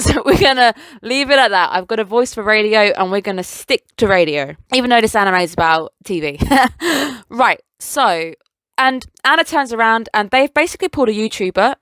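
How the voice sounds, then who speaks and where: A young woman in a casual solo review, gushing as an excited fan and rambling through self-corrections. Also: mid-range voice, clean tone, no room sound. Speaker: anime reviewer.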